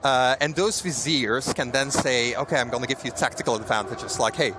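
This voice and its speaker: A man explaining game features in a casual booth interview, relaxed and full of fillers and restarts. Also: mid-range voice, brisk, background noise. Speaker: game developer guest. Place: busy outdoor convention booth.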